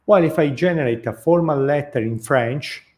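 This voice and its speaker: Italian-accented guy thinking out loud, warm dark voice, lots of uhs and restarts. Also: a little high, moderate pace. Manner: thoughtful, unscripted, many fillers and restarts. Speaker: host. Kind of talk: casual talk.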